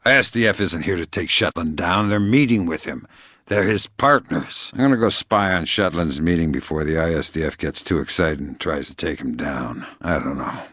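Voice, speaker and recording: An actor playing a hardened, sardonic middle-aged covert operative in a video game, gruff and terse, with dry deadpan delivery. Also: male voice, low-pitched, moderate pace, no room sound. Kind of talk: video game character dialogue.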